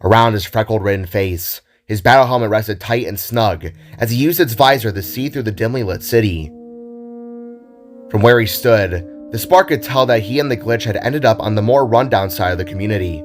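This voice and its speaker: A man's voice: lowish voice, normal speed, soft background music. A man narrating a scripted adventure story in a dramatic style.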